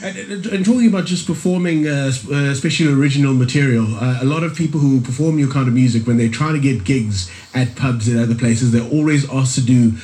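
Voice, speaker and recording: A man's voice: mid-range voice, fast talker, hardly any pauses, warm tone. A man hosting a radio interview in a studio, asking friendly leading questions in a casual way. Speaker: radio host.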